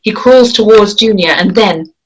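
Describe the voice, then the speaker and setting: A woman with a mid-pitched voice narrates a screenplay at a table read. She reads the stage directions, with faint noise and clicks behind her. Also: normal speed, poor audio.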